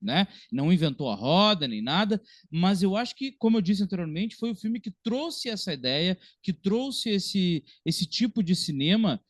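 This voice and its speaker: A man's voice: sits high, expressive, normal speed, few pauses, no room sound, studio quality. A Brazilian man in his thirties hosting a podcast, talking about film in an informal, talkative, conversational way. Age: 30s. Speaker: podcast host.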